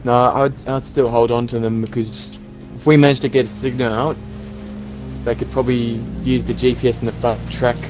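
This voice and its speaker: A man playing a practical, hopeful zombie apocalypse survivor in an improvised game roleplay, talking in a halting, conversational way. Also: medium pitch, little pitch movement, over music, natural voice. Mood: hopeful, reassuring.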